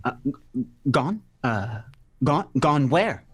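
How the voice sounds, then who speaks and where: A woman voicing a flustered man in a celebrity parody for a comic radio play, halting and stammering with lots of uhs. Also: extremely low pitch, sing-song, husky, voice pushed down, full character voice.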